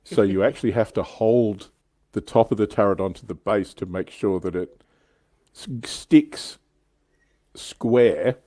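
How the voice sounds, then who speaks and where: A man's voice: on the low side, unhurried, warm tone, no room sound. A man hosting a hobby livestream in casual, enthusiastic chat. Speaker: show host on a hobby livestream.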